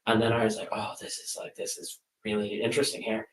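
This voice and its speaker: A man's voice: on the low side, completely flat delivery, fast talker, roomy sound, far from the mic, low-quality recording. A man, an author, chatting casually and rambling, with many fillers and restarts. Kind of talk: casual chat.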